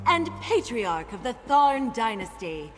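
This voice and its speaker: A ceremonial herald for a fantasy game. Her high voice proclaims formally in a reverberant hall, with music clearly behind it. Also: big pitch swings, unhurried. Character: formal court herald announcing dignitaries. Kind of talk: fantasy video game acting.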